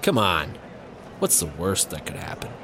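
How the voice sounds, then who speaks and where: A man's voice: sits low, huge pitch swings, moderate pace, vocal fry, heavy background noise, full character voice. A man playing a breezy, dim space cop in a sci-fi comedy audio drama, casual with a touch of melodrama. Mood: carefree. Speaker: voice actor.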